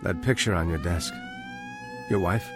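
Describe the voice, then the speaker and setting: A man's voice: deep voice, measured pace, noisy background. A man playing an earnest, intense American with a clipped, guarded way of speaking and an American accent, in vintage television drama style. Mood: pleading, grave. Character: earnest, urgent American man carrying a grave warning. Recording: old TV soundtrack with music. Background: dramatic music.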